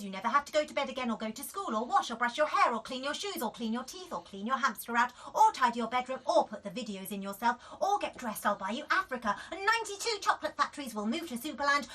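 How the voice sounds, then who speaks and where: A woman's voice: high voice, pushed and bright, pitched above the performer's own voice, exaggerated voice. A woman voicing an exasperated mum in a children's storybook, coaxing and bribing in gushing lists. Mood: frantic bribing. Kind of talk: children's storybook character reading.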